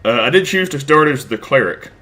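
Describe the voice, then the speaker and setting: Rambling, crude let's player. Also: male voice, mid-range voice, animated, brisk, sharp tone, a little hiss or hum. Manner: rambling, crude, self-deprecating, many restarts. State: stuffed up from a cold. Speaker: let's play host. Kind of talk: casual gameplay commentary.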